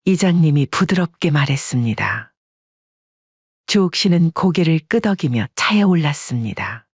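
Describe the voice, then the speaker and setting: A narrator tells a story in even, measured tones. The voice is husky and the sound is dry and close. The recording is slightly muffled, like lo-fi audio. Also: mid-range voice, dry recording.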